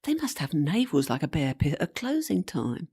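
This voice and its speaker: A woman's voice: sits low, big pitch swings, normal speed, mellow, slight background noise. A woman playing the ghost of a flippant young servant in a comic radio play, sarcastic, teasing and breezy. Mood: breezy sarcasm.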